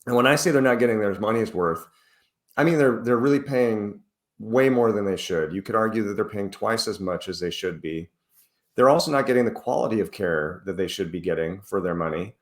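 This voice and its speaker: A man's voice: lowish voice, fairly quick, echoey room. A man recovering from the flu, talking earnestly as an interview guest and explaining at length with frequent restarts. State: recovering from flu, using a cough drop. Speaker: podcast guest, author. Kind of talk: casual interview talk.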